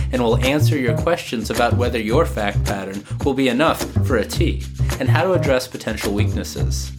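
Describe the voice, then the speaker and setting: Man with a cold hosting a legal podcast. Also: mid-range voice. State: has a cold, slightly nasal. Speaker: podcast host and immigration lawyer. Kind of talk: scripted legal podcast narration with ad reads.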